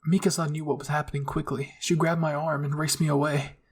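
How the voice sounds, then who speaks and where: A man's voice: on the high side, flat delivery, brisk, husky. A man reading scripted first-person roleplay narration as a storyteller.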